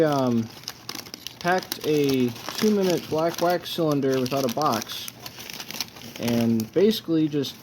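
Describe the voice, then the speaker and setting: A man presents his collection in a casual unboxing style. His delivery is low-key and halting, as if working out his thoughts aloud. His voice sits at an average pitch for a man. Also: noisy background.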